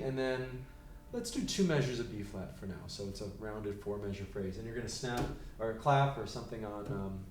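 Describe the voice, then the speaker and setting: A man teaching a piano lesson, counting beats out loud and explaining chord changes. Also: medium pitch. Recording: roomy, distant mic, loud piano. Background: piano playing.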